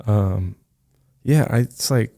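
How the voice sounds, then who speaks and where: A man chatting casually on a podcast, upbeat and easygoing, saying "man" and answering with quick agreements. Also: on the low side, vocal fry, mellow, no room sound, clean studio sound.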